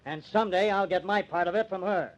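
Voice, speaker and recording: An actor with an American accent playing a cool, cunning small-town lawyer with a killer's streak in an old-time radio drama. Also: male voice, high voice, quick pace, close to an everyday voice. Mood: desperate, gloating. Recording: dull old radio recording.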